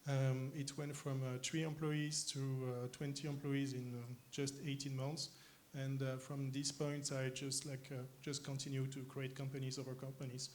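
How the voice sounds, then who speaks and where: A young man from France with a French accent, answering stage interview questions thoughtfully with frequent pauses. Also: medium pitch, flat delivery, darkish tone, a little hiss or hum. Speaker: interviewed guest, company co-founder. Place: conference arena stage.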